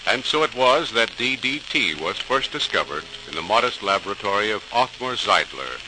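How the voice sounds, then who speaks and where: A man's voice: fairly low pitch, very animated, normal speed. Male narrator for a vintage radio docudrama: formal, theatrical, widely swinging intonation, hissy old recording. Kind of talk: dramatic scripted 1940s radio narration.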